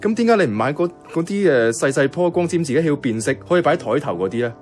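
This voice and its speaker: An actor playing a self-effacing young bank worker in a radio drama, speaking casual, colloquial Hong Kong Cantonese. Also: male voice, on the high side, sing-song, quick pace, a little mellow. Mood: teasing, coaxing. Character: soft-hearted, self-effacing young male bank worker, secretly devoted. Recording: music playing behind the voice.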